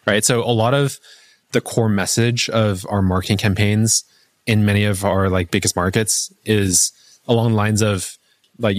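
A man answering interview questions in a measured, explanatory way, with many "like" fillers. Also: on the low side, no echo. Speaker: language learning app founder.

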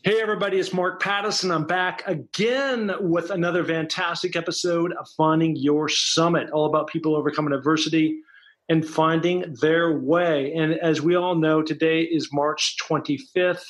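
A man's voice: on the high side, fairly even intonation, normal speed, fairly bright tone. A middle-aged man hosting an interview podcast, talking in a friendly, rambling way. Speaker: podcast host.

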